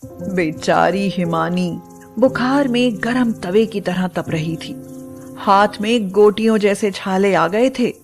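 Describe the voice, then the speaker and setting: A woman's voice: medium pitch, takes it slowly, over music. A woman narrating a scripted moral story in a dramatic storytelling style.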